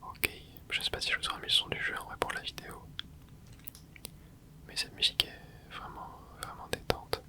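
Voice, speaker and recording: An ASMR creator giving soft, whispered commentary while playing a video game, rambling and hesitant with lots of fillers and restarts. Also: moderate pace. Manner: soft unvoiced whisper throughout.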